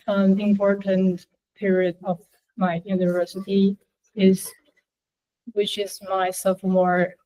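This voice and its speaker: Slow, hesitant young woman presenting. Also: medium pitch, warm tone, low-quality recording. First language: Chinese. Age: young adult. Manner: halting, hesitant, many fillers. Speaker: student presenting a portfolio. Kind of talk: class presentation.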